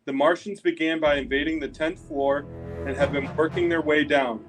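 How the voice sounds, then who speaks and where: An actor playing a trapped but composed field reporter giving a news report in a radio drama. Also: male voice, fairly high pitch, steady tone, normal speed, over music, lightly acted. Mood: urgent, composed.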